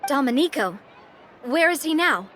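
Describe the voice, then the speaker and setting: Game casting: helpful, confident young woman, bright high register, animated, straight-talking. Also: moderate pace, a faint crowd, slight background noise. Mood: concerned. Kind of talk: video game character dialogue.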